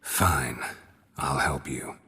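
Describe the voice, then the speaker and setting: A stoic monster-hunting mercenary from a video game, with an extremely low, very husky voice. He speaks in terse, deadpan lines with dry wit. The sound rings with long hall reverb. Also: very slow, exaggerated voice. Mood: deadpan, businesslike. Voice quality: very deep, husky, gravelly.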